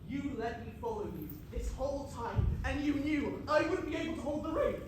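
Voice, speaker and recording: A man's voice: very high voice, lively intonation, a little fast, background noise. A young man acting a fretful, accusing hobbit ghost in an amateur stage pantomime. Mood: hurt, accusing. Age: young adult. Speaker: student stage actor. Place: theatre stage. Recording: very echoey hall, distant mic.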